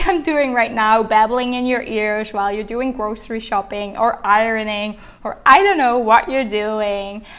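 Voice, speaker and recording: Chatty woman hosting a podcast. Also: on the high side. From Belgium. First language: Dutch. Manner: warm, chatty and encouraging. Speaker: podcast host, business coach. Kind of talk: solo podcast monologue.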